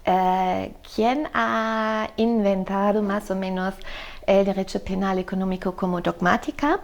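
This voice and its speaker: Slow, halting German-accented woman. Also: mid-range voice, little pitch movement. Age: middle-aged. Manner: halting, frequent fillers and self-corrections, says "so". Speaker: law professor. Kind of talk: explanatory interview answer.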